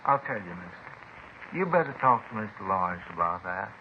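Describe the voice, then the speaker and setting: An actor playing a boozy, evasive neighbor in a 1950s radio drama, folksy and repetitive, with halting, ungrammatical speech. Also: male voice, low voice, expressive. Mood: evasive, muttering. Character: boozy, rambling, evasive male neighbor who likes a drink. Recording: muffled, noisy old 1950s radio recording.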